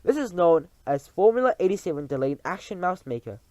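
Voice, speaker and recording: A man's voice: sits high, very animated, brisk, mellow, no echo, voice pushed up. A man reading aloud and voicing a tiny, tyrannical female witch leader who shrieks orders in stilted, odd grammar. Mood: lecturing.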